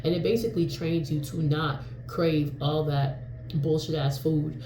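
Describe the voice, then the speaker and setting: A woman in her forties from New York chats to camera in a rambling, candid vlog style, full of ums and the occasional curse. Her voice is mellow and relaxed. The room around her rings with echo, and a faint hum sits underneath. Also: sits low, a little slow. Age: 40s. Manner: rambling and frank, with frequent fillers and mild swearing. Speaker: vlogger. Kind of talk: casual talking-to-camera vlog.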